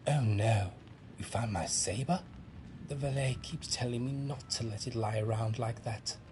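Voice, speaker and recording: An actor playing a polite, secretive duck in a video game, chatty and confiding. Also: male voice, medium pitch, normal speed, noisy background, natural voice. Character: chatty male duck, secretive sabre-practising society member. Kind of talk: video game character acting. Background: music.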